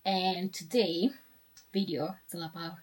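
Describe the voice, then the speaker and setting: A woman's voice: on the low side, fairly even intonation, a little slow, darkish tone, husky. Chatty young woman vlogging. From Kenya. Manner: chatty and upbeat, rambling with restarts. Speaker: lifestyle vlogger. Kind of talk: casual sit-down vlog chat.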